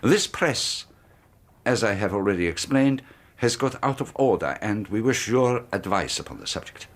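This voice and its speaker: An actor playing a sinister, secretive middle-aged colonel, talking smoothly and insistently in a radio drama. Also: male voice, on the low side, animated, moderate pace. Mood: persuasive. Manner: smooth, insistent, quietly threatening. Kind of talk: 1980s radio drama acting.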